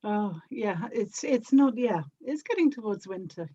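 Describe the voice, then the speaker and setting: A woman's voice: medium pitch, animated, unhurried, warm tone, husky, dull audio. A woman with a British accent chatting casually as a guest on a podcast. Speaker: podcast guest, audio drama actor and producer. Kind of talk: casual conversational chat.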